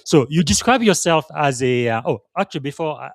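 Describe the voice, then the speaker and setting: A man's voice: a little high, animated, a little fast, gravelly, no echo. Male podcast host from Congo, dry sound. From Congo, Central Africa. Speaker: podcast host interviewing a guest. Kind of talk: conversational live interview.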